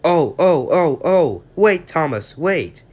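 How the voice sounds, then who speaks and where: A man voicing a crowd of silly, noisy freight trucks in a storybook, squealing and shrill. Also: expressive, slow. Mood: alarmed.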